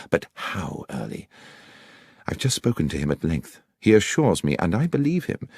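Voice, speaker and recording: A man voicing a coolly authoritative gentleman detective with formal, probing, precise speech, in an audiobook. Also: deep voice, no echo, clean studio sound, voice pushed up. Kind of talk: audiobook character voice.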